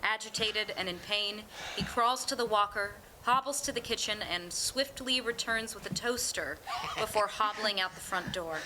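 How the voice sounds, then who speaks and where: A woman's voice: medium pitch, normal speed, noisy background. A woman reading stage directions as scripted narration for a staged play reading.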